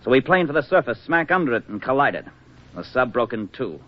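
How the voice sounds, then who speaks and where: An actor playing a bitter, defiant navy diver, once a submarine commander, speaking curtly in a 1940s radio drama. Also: male voice, medium pitch, very animated, brisk, natural voice. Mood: grim, resigned. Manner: curt, resentful. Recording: dull lo-fi 1940s radio recording.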